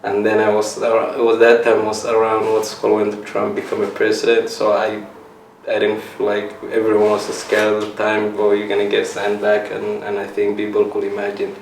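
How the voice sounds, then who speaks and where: A young man from Somalia telling his own story in an interview, halting and restarting as he searches for words. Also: fairly low pitch, creaky. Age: young adult. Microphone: set up mic in room. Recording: roomy, mic a little far, faint hiss.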